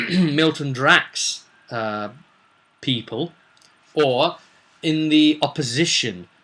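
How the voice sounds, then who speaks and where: A man recounting a tabletop game session in an animated, emphatic way, throwing in rhetorical questions like a game master telling a tale. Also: medium pitch. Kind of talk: animated vlog storytelling.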